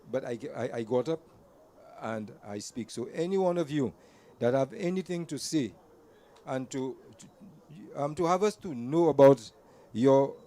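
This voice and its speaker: A man's voice: medium pitch, lively intonation, unhurried, long pauses, dark tone. A man gives an earnest storytelling speech to a community gathering, repeating words as he restarts his sentences. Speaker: invited speaker at a community gathering. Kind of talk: personal storytelling speech to an audience. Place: community event venue.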